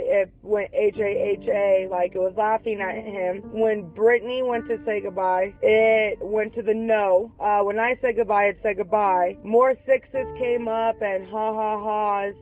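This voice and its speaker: A woman being interviewed, recounting a disturbing past experience in a measured, uneasy way. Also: medium pitch, steady tone. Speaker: homeowner, interviewee. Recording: phone-like thin sound, faint music.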